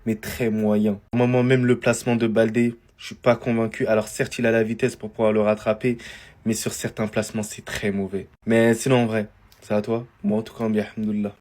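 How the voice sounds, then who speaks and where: A man speaks about a match in a level, monotone voice. He is blunt and outspoken, and he does not hold back his criticism. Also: on the low side, a little fast. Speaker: football YouTuber. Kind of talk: casual video commentary.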